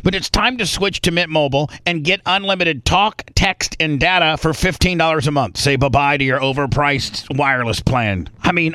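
A man's voice: fairly high pitch, fairly bright tone, dry recording. A man hosting a casual comedy talk show, speaking in a colloquial, insistent, joking way. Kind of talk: casual, joking banter and ad reads.

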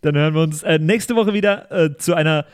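A man's voice: a little high, brisk, clear, resonant tone. A man hosting a podcast, chatting casually and reading out thanks with jokey asides. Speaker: podcast host and tabletop player.

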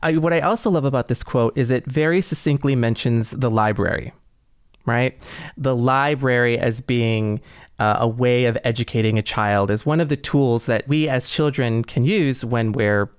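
A man co-hosting a podcast, speaking in a measured, explanatory, conversational manner. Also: medium pitch, clear, resonant tone, dry recording, studio quality. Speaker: podcast co-host.

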